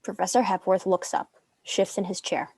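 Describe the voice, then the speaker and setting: A woman's voice: medium pitch, little pitch movement, moderate pace, darkish tone, breathy edge, no echo. A woman reading stage directions as narrator of a scripted drama.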